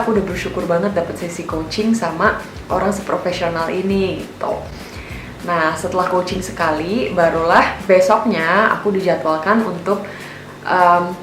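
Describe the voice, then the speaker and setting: Young woman, low voice, chatty storyteller, roomy echo, music underneath. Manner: chatty and candid, with lots of filler words. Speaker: vlogger narrating her own experience. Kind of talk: casual voice-over storytelling. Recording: echoey room with music behind. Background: background music.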